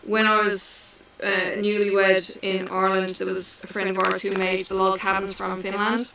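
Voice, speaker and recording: A middle-aged woman from Tasmania chatting casually while she crafts, answering questions slowly with many ums and pauses. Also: medium pitch, level tone, vocal fry, bright, forceful tone, a little hiss or hum, poor audio. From Australia (Tasmania). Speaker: craft vlogger. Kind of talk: relaxed chat while crafting.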